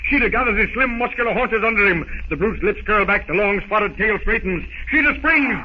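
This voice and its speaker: High-pitched theatrical old radio narrator, male. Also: lively intonation, a little fast. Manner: theatrical, intense. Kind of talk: dramatic 1930s radio narration. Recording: dull, very noisy 1930s radio recording.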